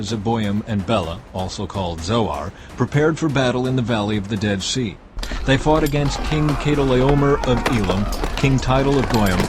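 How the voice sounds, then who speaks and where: A man's voice: mid-range voice, background noise. A man giving a scripted, dramatized narration of scripture as the narrator. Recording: loud music bed behind the voice.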